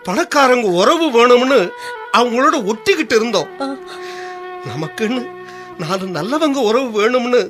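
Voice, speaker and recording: Troubled husband, high voice, very expressive, earnest, radio drama, faint music. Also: male voice, heavily acted. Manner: emotional, earnest. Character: desperate, humbled husband who lost job and money. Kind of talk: Tamil radio drama acting.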